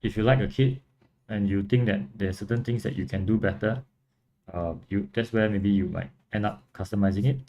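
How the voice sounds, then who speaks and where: Singaporean man, deep voice, gravelly, laid-back rambling livestream chat. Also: brisk, slight background noise. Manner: relaxed, rambling, frequent fillers and restarts. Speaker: livestream host and model kit builder. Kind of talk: casual livestream chat answering viewers.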